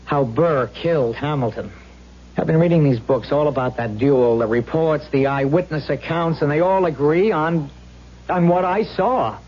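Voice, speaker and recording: Man acting an anxious, husky-voiced policeman. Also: a little high, natural voice. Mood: haunted, intense. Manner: halting, stammering, intense. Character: anxious, haunted male policeman confiding in wife. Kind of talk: 1970s radio drama acting. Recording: dull vintage radio recording.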